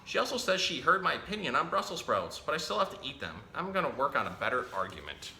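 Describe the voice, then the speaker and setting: A man hosting a children's storytime, reading a picture book aloud in a playful, expressive way and talking directly to young listeners. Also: fairly high pitch, fast. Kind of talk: animated read-aloud of a children's picture book with chatty asides to a pet parrot. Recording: roomy echo, faint background noise.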